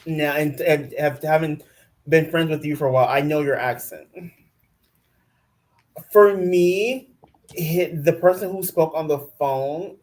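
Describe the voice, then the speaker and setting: A man co-hosting a casual recap show, chatty and quick to hedge his opinions. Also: on the high side, darkish tone. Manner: chatty, hedging. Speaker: podcast co-host.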